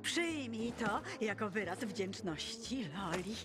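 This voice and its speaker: A woman's voice: mid-range voice, lively intonation, slow. A woman voicing a theatrical, gushing, eccentric female singer in a video game. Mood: coy, grateful. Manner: theatrical, refers to herself by name. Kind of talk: dramatic video game dialogue. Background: music.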